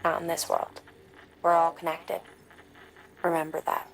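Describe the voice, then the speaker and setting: An actress playing a calm, enigmatic scientist in a game, speaking in a measured, solemn way. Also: female voice, lowish voice, vocal fry, a little hiss or hum, low-quality recording. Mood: serene, cryptic. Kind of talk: video game dialogue.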